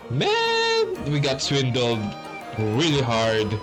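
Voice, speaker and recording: A man reacting casually to a video game, swearing bluntly in drawn-out exasperation. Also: medium pitch, wildly swooping pitch, over music. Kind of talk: casual, profane gameplay reaction.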